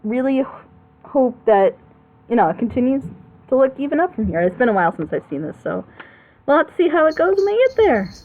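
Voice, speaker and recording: A woman's voice: sits high, very animated, a little fast. Female reaction host, emphatic and rambling, casual delivery, phone-like narrow sound. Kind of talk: casual chat, opinionated commentary.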